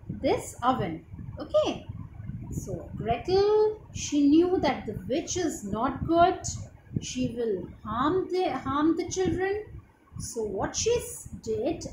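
A young woman with an Indian accent tells a moral tale to children in a high, very lively voice. She takes it slowly and keeps asking questions. It was recorded in an echoey room at home. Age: young adult. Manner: expressive and didactic, often asking questions to child listeners. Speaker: storyteller for children. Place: small room at home, indoors. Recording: roomy echo with clear background noise.

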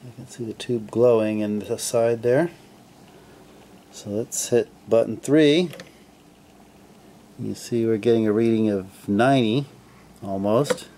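A man casually narrating his radio restoration at a home workbench, relaxed and full of fillers as he thinks aloud. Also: lowish voice, measured pace, pauses often, a little hiss or hum. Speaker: hobbyist restoring a vintage radio.

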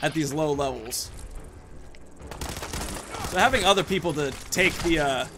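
A man's voice: on the high side, measured pace. A young man hosting casual gameplay commentary in a chatty, jokey, rambling way. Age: young adult. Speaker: gaming video host. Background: game ambience, faint noise.

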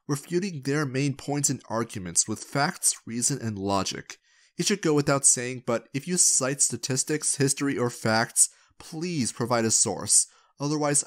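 A man with a mid-range voice speaks as a channel host. He delivers scripted voice-over straight to his viewers, with a playful manner and a close-mic sound. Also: mid-range voice. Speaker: video creator and channel host.

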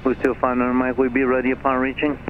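Flat-toned male ground controller on tinny radio. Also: mid-range voice, fast talker, soft background music. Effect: aircraft radio. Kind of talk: procedural radio taxi instructions. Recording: tinny aviation radio, faint noise.

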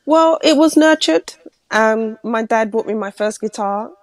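A woman's voice: fairly high pitch, measured pace. A London woman, a singer, chatting in an interview about her start in music and feeling a bit unwell. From London, England. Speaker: guest singer. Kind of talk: casual interview chat.

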